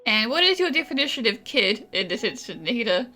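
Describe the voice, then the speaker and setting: A woman's voice: a little high, very animated, fairly quick, pushed and bright. A woman hosting a game playthrough, giving casual, wry, questioning commentary. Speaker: gaming video host.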